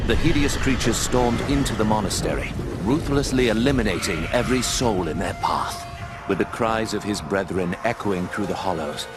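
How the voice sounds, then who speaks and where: An older man playing a wise, gruff old owl mentor in a video game, speaking in a measured, formal way. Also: sits low, natural voice. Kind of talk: scripted dramatic narration and game dialogue.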